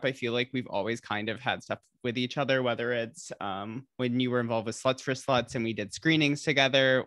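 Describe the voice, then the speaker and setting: A woman's voice: a bass voice, a bit bright, no room sound. Chatty woman hosting a podcast, dry sound. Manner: chatty, filler-heavy, upbeat. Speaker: podcast host. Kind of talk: casual chat.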